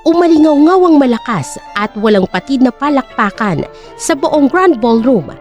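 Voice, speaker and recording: A woman's voice: high-pitched, very animated, normal speed, a little mellow, soft background music. A woman with a Filipino accent reading a scripted dramatic story as narrator.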